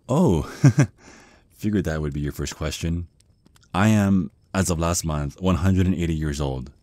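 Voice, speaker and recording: A very low, close and dry male voice , an actor's, plays a bashful young vampire in an ASMR roleplay. He stammers nervously and rambles in awkward, halting bursts, easily flustered. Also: dark tone, no room sound. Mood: shy, explaining.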